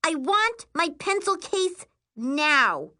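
A woman voicing an indignant, bossy twelve-year-old girl in a shrill, snapping voice for an audiobook. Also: lively intonation, slow pace, sharp tone, exaggerated voice. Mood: furious.

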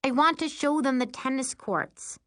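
A woman's voice: high voice, steady tone, normal speed, a bit bright, pitched above the performer's own voice. A woman voicing a whiny, matter-of-fact younger sister in an audiobook. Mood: eager. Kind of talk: audiobook character voice.

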